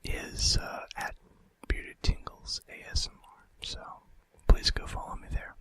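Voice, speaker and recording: A man doing an informal ASMR fact video in a breathy, unvoiced whisper with a hesitant delivery. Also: measured pace, fairly bright tone. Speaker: ASMR host.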